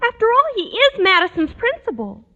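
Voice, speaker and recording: A bright, high-pitched schoolgirl in a 1940s radio comedy. She is earnest and chatty, heard through muffled old broadcast sound. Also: huge pitch swings, a little fast, in a large hall, exaggerated voice. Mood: wary, earnest. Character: young schoolgirl, earnest, chatty, principal's daughter.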